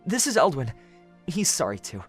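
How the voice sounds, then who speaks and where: Shy, earnest young woodland lad played by a woman, low for a female voice, swinging widely in pitch. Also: sing-song, normal speed, soft background music. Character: gentle, sheltered young forest man, shy and earnest. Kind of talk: fan audio drama acting.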